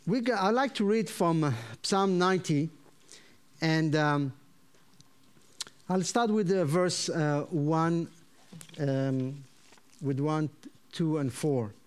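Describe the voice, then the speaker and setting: A man with an Israeli accent, a native Hebrew speaker, preaching to a congregation and teaching Hebrew words, with frequent fillers and pauses. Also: on the high side, clear, resonant tone. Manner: hesitant with many fillers, then animated teaching. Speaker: visiting pastor. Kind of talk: sermon to a congregation.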